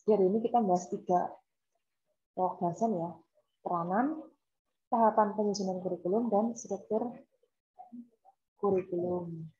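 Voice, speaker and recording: A woman's voice: medium pitch, moderate pace, long pauses, dark tone. A woman lecturer from the of Indonesia, teaching an online class informally with examples and repetition over a laptop video call. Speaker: university lecturer. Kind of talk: online lecture, explaining and asking students. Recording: dull, lo-fi video call audio.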